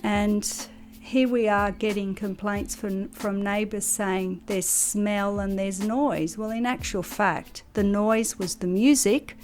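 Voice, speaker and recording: A woman speaking for a community centre, measured and hesitant, with fillers. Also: medium pitch, fairly even intonation, darkish tone. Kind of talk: interview talk.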